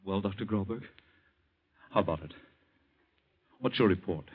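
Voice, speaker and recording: An actor playing an anguished, accusing young man in a noir radio drama, with a husky voice and intense, insistent delivery. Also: deep voice, expressive, normal speed. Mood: grim questioning. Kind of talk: 1940s radio acting. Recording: muffled old radio recording.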